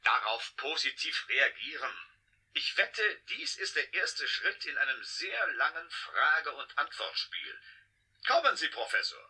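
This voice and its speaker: Radio drama role: brash, teasing male scientist, higher-set and very forceful. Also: big pitch swings, a little slow, slightly rough, full character voice. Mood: eager, insistent. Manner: teasing, emphatic, eager. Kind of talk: German radio drama acting. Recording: 1980 radio drama, radio-like sound.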